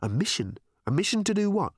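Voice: mid-range voice, sing-song, a little fast, breathy edge, no echo, studio quality, voice pushed up. A storyteller voicing a flustered professional woman reporter who tries to stay composed while asking incredulous questions. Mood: controlled panic.

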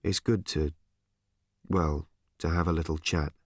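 A man voicing a flustered, well-meaning father who fumbles for gentle words. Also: very deep voice, fairly even intonation, moderate pace, breathy edge, no room sound, clean studio sound, pitched below the performer's own voice.

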